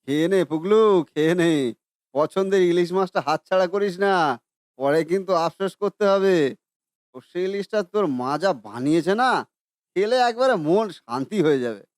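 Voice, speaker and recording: A man's voice: high voice, lively intonation, moderate pace, smooth, dry recording, studio quality. A man voicing a mild, placating father in a cartoon with a soothing manner. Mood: easygoing.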